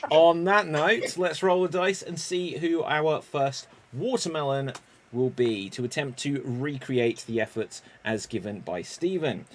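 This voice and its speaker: A man's voice: a little high, slight background noise. Man hosting an improv show. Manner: clear, deliberate explaining of rules.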